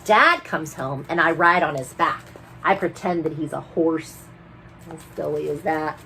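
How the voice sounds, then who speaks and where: A woman reading picture books aloud to children, expressive and playful, with chatty asides to her young listeners. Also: deep voice, measured pace, creaky, slight background noise, low-quality recording. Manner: playful, animated, talks directly to young listeners. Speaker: children's bedtime story reader.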